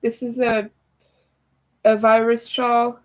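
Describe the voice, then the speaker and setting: A young woman with a raspy, hoarse voice, chatting casually with viewers as she crochets on a livestream. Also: a little high, flat delivery, slow pace. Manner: chatty, talks to viewers while working. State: hoarse from streaming a lot. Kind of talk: casual chat while crocheting live.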